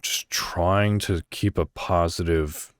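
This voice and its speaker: A man's voice: low voice, fairly even intonation, slow, dry recording, studio quality. A man hosting a solo podcast in a casual, conversational and somewhat rambling way. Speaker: podcast host. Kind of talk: casual solo podcast talk, reading articles aloud.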